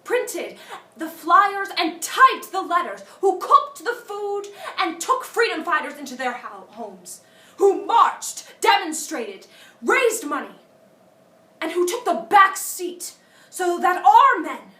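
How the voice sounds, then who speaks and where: Indignant female civil rights activist, played by a woman, bright forceful voice, deliberate stage oratory, echoey room. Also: extremely high pitch, big pitch swings, exaggerated voice. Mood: impassioned. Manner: deliberate, emphatic oratory with dramatic pauses. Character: indignant eloquent woman civil rights organizer addressing a gathering. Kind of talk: theatrical audition monologue as a formal speech. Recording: echoey room, distant microphone.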